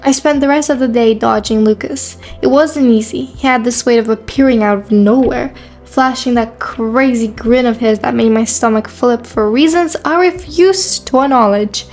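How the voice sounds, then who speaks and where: Woman doing guarded teen girl in a romance story, highish voice, sarcastic and prickly, soft music behind. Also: moderate pace, lightly acted. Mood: flustered, reflective. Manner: dry, defensive, sarcastic. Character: guarded, prickly quiet new high school girl. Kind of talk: first-person narration and dialogue in a teen romance.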